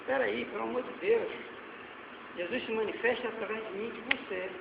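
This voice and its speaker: A man in his forties with a Brazilian Portuguese accent, preaching a sermon that swings between loud exhortation and quiet asides. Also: high voice, brisk, creaky. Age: 40s. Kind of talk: sermon with slides. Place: church hall. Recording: echoey hall, dull lo-fi sound, faint noise.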